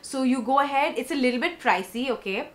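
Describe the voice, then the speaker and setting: A woman's voice: high voice, lively intonation, fairly quick. A young woman from India with a South Indian accent, chatting casually through a makeup tutorial in her bedroom and slipping in Hindi. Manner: chatty and warm, mixing English with Hindi. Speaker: beauty vlogger. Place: bedroom, indoors.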